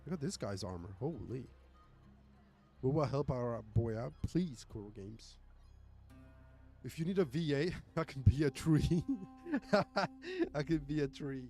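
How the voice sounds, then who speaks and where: Chill sounding guy streamer, mellow tone, casual chat, faint music behind. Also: mid-range voice, animated, unhurried, pauses often. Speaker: game streamer. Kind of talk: casual livestream commentary.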